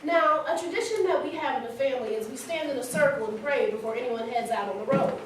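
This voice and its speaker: Woman acting high-voiced young storyteller in echoey hall. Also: fast, close to an everyday voice. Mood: candid, eager. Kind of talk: staged reading monologue, conversational storytelling. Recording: very echoey hall, distant mic, faint noise.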